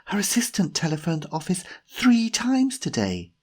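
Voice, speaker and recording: A man voicing an excitable, bossy young woman in an audiobook story, breathy and urgent. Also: on the high side, a little slow, pitched above the performer's own voice. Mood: urgent whispering.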